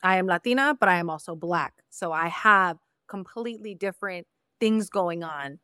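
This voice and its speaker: A woman's voice: lowish voice, moderate pace, pushed and bright, smooth, no echo, clean studio sound. A woman from Mexico hosting a podcast interview, speaking candidly and repeating her points for emphasis. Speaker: podcast host. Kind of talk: casual interview conversation.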